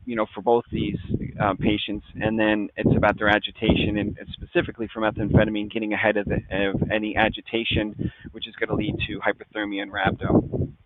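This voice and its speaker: A man's voice: lowish voice, very noisy. A middle-aged man, a physician guest explaining medicine conversationally, with folksy, informal phrasing mixed into technical jargon. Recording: phone-like, narrow-band audio.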